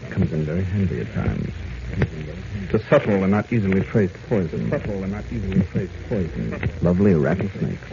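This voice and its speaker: A man's voice: sits low, very animated, brisk, creaky, heavy background noise, voice pushed down, heavily acted. Man as smug radio villain, muffled recording. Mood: gloating, threatening. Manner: taunting, mock-polite. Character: menacing, smug, sadistic male villain, silkily polite. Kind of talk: melodramatic 1940s radio serial acting. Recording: muffled old radio broadcast.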